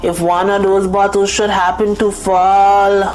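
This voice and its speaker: A woman's voice: mid-range voice, level tone, unhurried, a little mellow, lightly acted, low-quality recording. A tipsy, foolish island man in a folklore audio drama , acted by a woman. He rambles and slurs in a Caribbean accent, with light music behind him. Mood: tipsy rambling. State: drunk. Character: drunken, foolish, lustful Caribbean man, rum lover.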